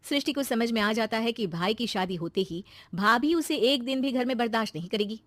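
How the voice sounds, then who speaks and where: A woman's voice: fairly high pitch, lively intonation, fairly quick, a bit bright, no echo. A woman reading a scripted moral story as its narrator.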